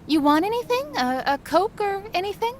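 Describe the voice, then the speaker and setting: High, lilting, flighty hippie mom, played by a woman. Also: very animated, moderate pace, slight background noise. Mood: breezy, caring. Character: flighty, breezy hippie mother of a teenage son. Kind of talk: scripted comic-dub acting.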